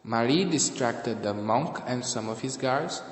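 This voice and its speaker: A man reading scripted story narration in a halting way, stumbling over some words. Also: medium pitch, animated. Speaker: narrator and reader of a fan audio drama. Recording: echoey room with long reverb.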